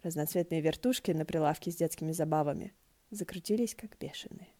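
Женщина выразительно читает художественную прозу как рассказчица аудиокниги. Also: female voice, sits low, brisk, mellow. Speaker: audiobook narrator. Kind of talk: scripted fiction narration.

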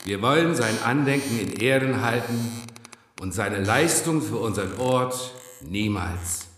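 A solemn, formal speaker at a funeral in a radio play. His voice rings out in a big hall with a long echo, and a clear hiss runs underneath. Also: mid-range voice, expressive, a little slow, fairly bright tone. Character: male speaker giving a funeral eulogy. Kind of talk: radio drama acting.

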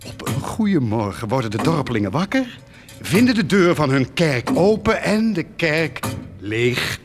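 High-voiced, fervent scheming leader. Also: male voice, big pitch swings, measured pace. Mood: scheming, forceful. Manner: intense, rhetorical, declamatory. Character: fervent scheming male war leader, pleading and driven. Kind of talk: Dutch radio drama acting. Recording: music behind the voice.

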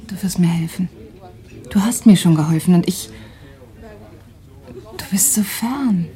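An actress playing an anguished young refugee wife in a radio play, speaking haltingly and intensely, at times breathy. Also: female voice, fairly low pitch, mellow, natural voice. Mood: pleading. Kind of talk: dramatic radio play acting.

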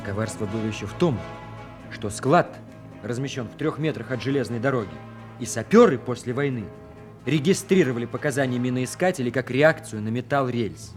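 An actor playing a serious, self-assured senior lieutenant sapper who explains matter-of-factly in a radio play. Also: male voice, medium pitch, a little fast, music in the background, natural voice. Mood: grave, explaining. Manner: matter-of-fact, technical explaining. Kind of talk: Soviet radio play acting.

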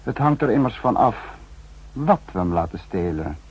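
An actor playing a calculating senior spymaster in a 1960s radio drama, speaking in a measured, probing, formal way. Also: male voice, fairly low pitch, big pitch swings. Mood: cool, calculating. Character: calculating male spymaster, senior protector of secret service. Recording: dull, faintly husky 1960s radio recording.

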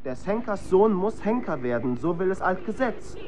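A man's voice: sits high, big pitch swings, moderate pace, a little mellow, slight background noise. A young man acting an ambitious, boastful executioner's son in a historical radio play, with vivid archaic phrasing. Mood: bitter, eager.

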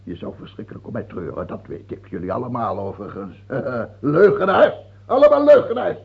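A muffled, phone-like radio play recording of a tyrannical king. His husky, creaking voice swoops widely. He is capricious and suspicious, repeating himself as he slides between wheedling and command. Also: mid-range voice, wildly swooping pitch, brisk, heavily acted. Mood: suspicious, mocking. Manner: repeats himself, swings from wheedling whispers to shouting. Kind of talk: old radio drama acting.

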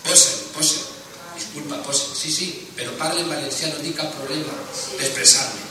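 A man argues in a council debate as an opposition councillor, indignant and insistent. His slightly high voice sounds distant. Heavy chamber echo and steady noise surround it. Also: normal speed. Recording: echoey council chamber from afar.

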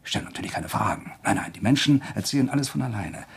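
An actor playing a polite, coolly probing male writer who deliberately provokes, in a German crime radio play. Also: medium pitch, animated, creaky, husky, full character voice. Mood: insinuating, intense.

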